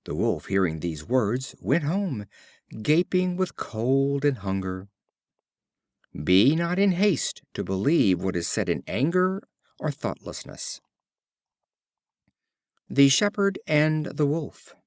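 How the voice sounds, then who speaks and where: A man reading short fables as an audiobook narrator, in a measured, expressive storytelling delivery. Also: medium pitch, no echo, studio quality.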